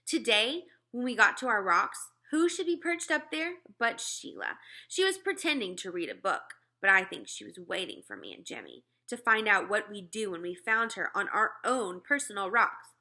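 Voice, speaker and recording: Bright-voiced woman reading a story aloud. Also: medium pitch, big pitch swings, normal speed, hardly any pauses. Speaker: read-aloud reader. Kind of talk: scripted children's book narration, expressive.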